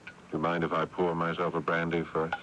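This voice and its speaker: An actor playing a world-weary, exasperated older brother who narrates a 1980s radio drama with brooding guilt, in dramatic delivery. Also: male voice, low-pitched, completely flat delivery, brisk, a bit bright, a little hiss or hum. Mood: weary, evasive. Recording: dull lo-fi AM radio broadcast.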